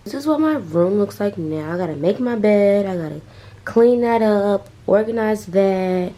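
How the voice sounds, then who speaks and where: A young woman vlogger with a raspy, hoarse voice as she loses it, chatting loosely to camera from her bedroom, full of "like" and "um". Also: mid-range voice, lively intonation, moderate pace, dark tone. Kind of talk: casual chat to camera.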